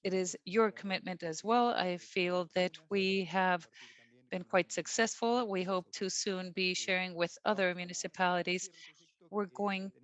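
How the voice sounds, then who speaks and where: Slow-paced woman interpreting live. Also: fairly low pitch, steady tone. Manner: measured, with pauses and restarts. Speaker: simultaneous interpreter. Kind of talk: live interpretation of closing remarks.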